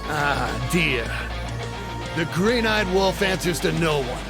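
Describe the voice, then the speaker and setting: A man voicing a fierce, proud male mercenary in a game, menacing and shouting, over game music. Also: a little high, lively intonation, slow pace.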